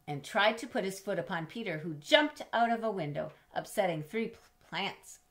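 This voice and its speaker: A middle-aged woman reading a children's storybook aloud in an expressive, measured way, as if to young listeners. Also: mid-range voice. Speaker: storybook reader. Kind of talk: children's read-aloud with chatty asides. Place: indoors in a home room with bookshelves.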